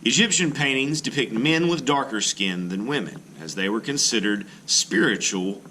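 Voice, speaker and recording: Lively man reading a lesson aloud. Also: medium pitch, normal speed, a little hiss or hum, low-quality recording. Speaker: art teacher reading aloud. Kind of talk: read-aloud lesson narration with brief explanatory asides.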